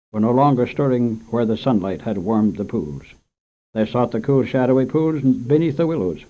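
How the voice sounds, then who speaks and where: A man reading a short story aloud in an expressive, unhurried way as the storyteller of a children's radio program. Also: fairly low pitch. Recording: muffled old radio recording.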